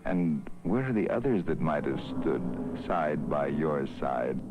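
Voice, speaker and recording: Brief: male narrator as a cold, patient hangman; very deep and deliberate, menacing calm. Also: highs cut off, over music, exaggerated voice. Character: sinister smiling male hangman, coldly patient. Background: orchestral film score.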